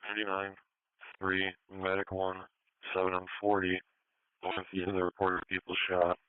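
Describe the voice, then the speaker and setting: A low male voice, smooth and dry, crackles out of a phone-like scanner channel. He calls units and locations in short procedural bursts over two-way radio. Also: monotone, normal speed, no echo. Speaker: emergency services radio operator. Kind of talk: terse emergency radio traffic. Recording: narrowband emergency scanner radio feed.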